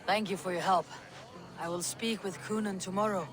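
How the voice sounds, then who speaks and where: A woman playing a stoic, direct and courteous Viking warrior in a video game, speaking in a measured way. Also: mid-range voice, steady tone, crowd noise, close to an everyday voice. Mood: calm. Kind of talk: video game character dialogue. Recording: loud music behind the voice.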